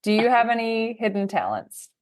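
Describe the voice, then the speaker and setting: A woman's voice: fairly high pitch, very animated, normal speed, a little mellow. A woman hosting a podcast interview who asks friendly, encouraging questions. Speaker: podcast host.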